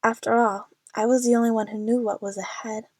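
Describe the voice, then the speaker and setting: A woman's voice: a little high, flat delivery, a little fast, no room sound, studio quality, close to an everyday voice. A woman playing an anxious, lovestruck young woman who narrates her adventure earnestly in an audio drama.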